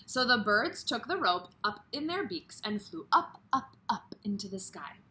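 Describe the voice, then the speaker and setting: A woman's voice: fairly high pitch, sing-song, a little slow, sharp tone, slight background noise. A woman kindergarten teacher reading a story aloud expressively and putting questions directly to young children.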